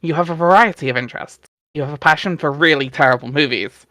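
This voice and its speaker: Dry-sounding streamer reading aloud, mid-pitched voice. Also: quick pace, bright, forceful tone, no room sound. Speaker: stream host and reader. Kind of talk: dramatic reading mixed with casual livestream chat.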